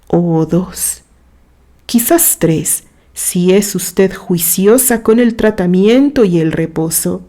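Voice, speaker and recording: A woman reading a literary story aloud in a dramatic, expressive way, with measured phrases and pauses. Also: fairly low pitch. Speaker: narrator reading a written story.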